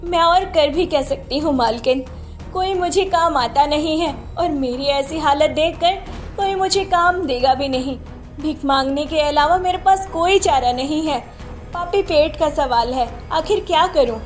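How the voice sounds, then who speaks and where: A woman's voice: high-pitched, measured pace, soft background music. A woman voicing a humble, pleading beggar woman. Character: poor humble young beggar woman, grateful.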